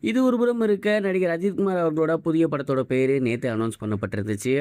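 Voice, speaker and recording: Fast-talking man presenting news. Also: mid-range voice, darkish tone, no echo, studio quality. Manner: brisk, mixes English words into Tamil. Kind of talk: fast news recap for online video.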